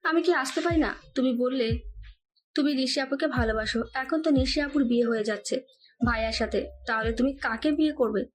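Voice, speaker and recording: A woman's voice: high-pitched, a little fast, a little hiss or hum, natural voice. A woman playing a clingy young girl in love, pleading and coaxing, in an audio love story. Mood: lovesick pleading.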